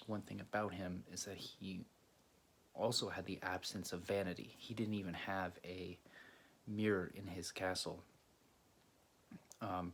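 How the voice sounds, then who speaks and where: Casting: male video host from Greece, low creaky voice, halting casual storyteller, faint noise behind. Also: a bit bright. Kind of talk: casual storytelling to camera or viewers.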